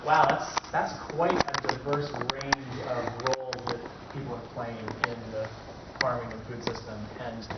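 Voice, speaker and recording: A man's voice: mid-range voice, fairly even intonation, measured pace. A man giving an informal workshop talk as the presenter, recorded from a distance. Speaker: workshop presenter, ecological designer. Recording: distant, echoey, muffled room recording with clear noise.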